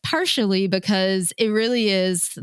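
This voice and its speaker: A woman's voice: mid-range voice, unhurried, smooth, no room sound, studio quality. A woman radio DJ chatting as an interview guest, informal and enthusiastic, with plenty of "like" and "you know". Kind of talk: casual conversational interview.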